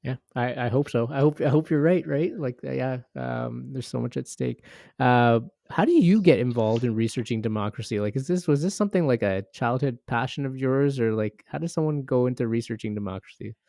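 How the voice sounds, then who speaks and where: A man's voice: medium pitch, a little fast, vocal fry, mellow, no echo, clean studio sound. A man hosting a podcast interview, asking questions in a casual, easygoing way. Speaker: podcast host.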